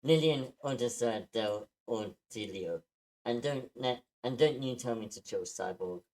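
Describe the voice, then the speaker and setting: A woman's voice: very deep voice, expressive, measured pace, a little mellow, exaggerated voice. A woman acts out a tough, imperious space-age warrior woman in a screenplay read. She is commanding and haughty, wary of everyone around her. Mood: haughty, guarded. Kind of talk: live screenplay table read. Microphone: remote online meeting.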